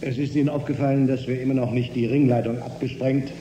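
An actor playing a calm, earnest spaceship scientist in a radio drama, measured and lecturing. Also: male voice, mid-range voice, lo-fi sound, close to an everyday voice. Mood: explanatory. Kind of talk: German radio drama acting. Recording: roomy studio with faint hum.

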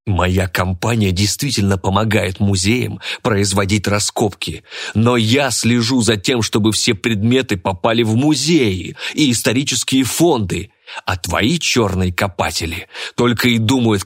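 A man's voice: on the low side, moderate pace, no echo, studio quality, lightly acted. A man voicing a haughty, hot-tempered businessman in an audiobook, contemptuous and declamatory. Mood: outraged.

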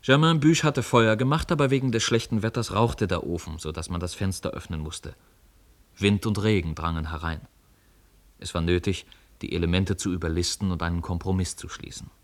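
A man with a very low voice narrates a literary radio play. His delivery is scripted and measured, in the manner of a storyteller. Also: steady tone.

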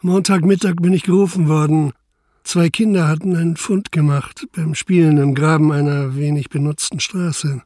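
An actor in a radio drama playing a restrained middle-aged police inspector, curt and matter-of-fact in dialogue and narration. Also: male voice, a little high, steady tone, moderate pace, mellow, no echo, clean studio sound, lightly acted. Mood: sombre narrating. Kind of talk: radio drama acting with first-person narration.

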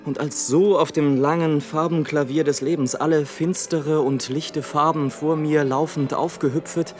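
A man's voice: a little high, fairly even intonation, normal speed, soft background music, close to an everyday voice. An actor playing a bitter, world-weary male balloonist in a German radio play, declaiming in an eloquent, theatrical and ironic way. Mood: musing.

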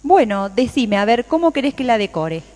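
Echoey, lively Argentine woman baker played by an actress. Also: fairly high pitch, normal speed. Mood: friendly, helpful. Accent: Argentine Rioplatense. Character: cheerful, dedicated woman home baker, friendly and passionate. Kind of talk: radio play acting. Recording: very echoey room with long reverb.

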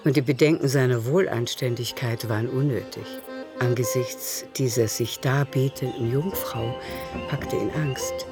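Literary radio drama narrator over soft music. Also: medium pitch, a little slow. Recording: music underneath.

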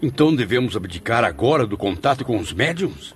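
An actor playing a doubtful, aggrieved spirit with wounded pride in a radio drama, questioning theatrically in formal language. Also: male voice, mid-range voice, sing-song, brisk, husky. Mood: anxious questioning.

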